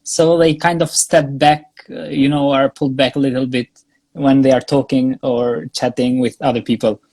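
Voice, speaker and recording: A young Albanian man speaking English with an Albanian accent as a guest in a casual interview, pausing often with fillers and restarts. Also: medium pitch, flat delivery, mellow, dry recording. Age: young adult. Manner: halting, many fillers and restarts. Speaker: guest, graduate student.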